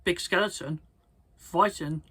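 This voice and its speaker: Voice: medium pitch, slow pace, pushed and bright, slightly rough. A person reading a children's story aloud, often stumbling over words and repeating them. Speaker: storybook reader.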